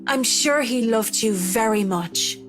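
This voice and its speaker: A woman acting a gentle, composed young professional in a video game, speaking in a measured, polite way. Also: a little high, lightly acted. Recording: music behind the voice.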